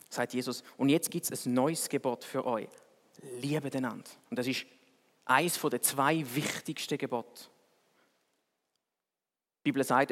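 A man with a Swiss German accent, preaching a sermon in a conversational, wry way that swings between quick and slow delivery. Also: medium pitch. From Switzerland. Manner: conversational, wry, speeds up and slows down for emphasis. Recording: long reverb of a hall.

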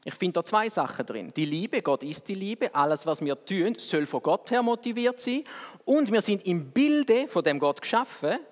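A man with a Swiss German accent, preaching a sermon in a conversational, wry way that swings between quick and slow delivery. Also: high-pitched, big pitch swings. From Switzerland. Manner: conversational, wry, speeds up and slows down for emphasis. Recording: long reverb of a hall.

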